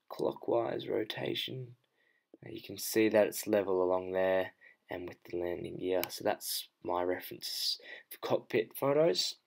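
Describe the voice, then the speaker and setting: A man's voice: fairly low pitch, gravelly, darkish tone. A man talking casually through a photo editing tutorial, thinking aloud as he works. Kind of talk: unscripted screen-recorded tutorial narration.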